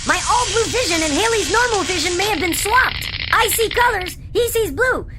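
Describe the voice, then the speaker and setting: A woman's voice: very high voice, lively intonation, normal speed, heavy background noise. A woman voicing an eager, slangy male starship crewman in an amateur sci-fi radio comedy. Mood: excited.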